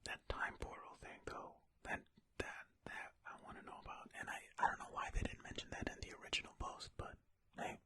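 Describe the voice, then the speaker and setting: An ASMR host speaking in a breathy, unvoiced whisper, reading aloud and commenting in a gentle, chatty, informal way. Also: fairly quick, hardly any pauses.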